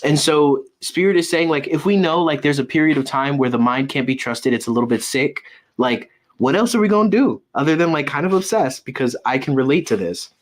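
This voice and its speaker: A man giving a casual, intuitive tarot reading, halting and circling back to repeat his phrases. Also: on the high side, animated. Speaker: tarot reader and medium, host.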